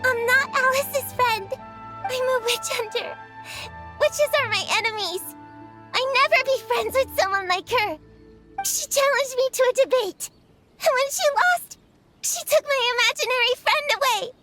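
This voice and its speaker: A woman's voice: very high-pitched, moderate pace. A woman voicing an earnest, proud young girl witch hunter in a video game. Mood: tearful confession. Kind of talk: video game character acting. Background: background music.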